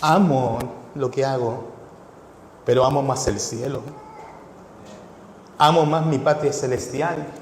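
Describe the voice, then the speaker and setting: A male pastor with a blend of Ecuadorian, Chilean and Mexican Spanish accents, preaching a sermon with rhetorical questions that builds to fervent shouts. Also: medium pitch, slow pace, pauses often, slight background noise. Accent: mixed Ecuadorian, Chilean and Mexican Spanish. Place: church hall. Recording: echoey hall with long reverb.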